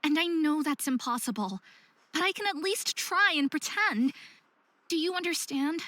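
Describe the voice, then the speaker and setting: Casting: a desperate, earnest young half-faerie for a narrative game; high-pitched, dry close sound. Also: female voice, expressive, normal speed, bright, forceful tone, no room sound. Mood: anguished. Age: young adult. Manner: earnest, emotional delivery. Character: troubled young hybrid faerie woman, self-hating, desperate, earnest. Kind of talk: visual novel voice acting.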